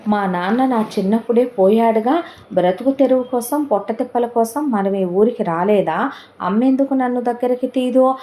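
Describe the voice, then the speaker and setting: A woman's voice: on the high side, mellow, pitched above the performer's own voice, lightly acted. A woman voicing a distraught young man who fires off questions about his missing father. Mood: agitated pleading. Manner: rapid anguished questioning.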